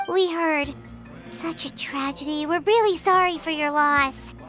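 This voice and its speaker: Tiny bubbly fairy sidekick, super high squeaky voice, talks about herself in third person, kinda muffled lo-fi sound. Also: female voice, measured pace, fairly bright tone, faint music behind. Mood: sympathetic. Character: tiny chatty female fairy companion.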